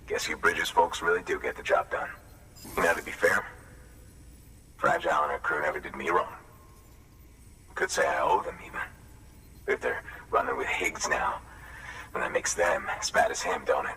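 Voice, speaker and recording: Gruff, deep-voiced prepper. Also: male voice, lively intonation, normal speed, pauses often, far from the mic, background noise. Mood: weary, grateful. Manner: folksy, colloquial, sighing. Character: gruff, wary prepper craftsman, world-weary but warming. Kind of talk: video game cutscene acting.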